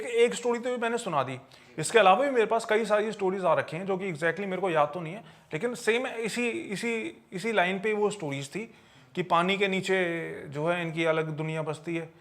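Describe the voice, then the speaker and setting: A man with a notably high-pitched voice tells eerie supernatural stories as a podcast storyteller. He speaks conversationally, circling back to repeat and reword phrases for emphasis. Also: moderate pace, a bit bright. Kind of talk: conversational storytelling of paranormal tales.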